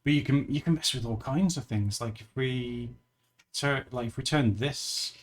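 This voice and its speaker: Male livestreamer, casual chat with viewers, giggly, laughs a lot, middle pitch. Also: normal speed. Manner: chatty and giggly, with frequent laughs and restarts.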